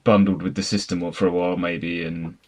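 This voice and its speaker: A man's voice: low voice, flat delivery, a little fast, a little mellow. A middle-aged man hosting a casual podcast discussion of films and games, talking in a wordy, meandering way. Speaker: podcast host. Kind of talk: casual film and game discussion.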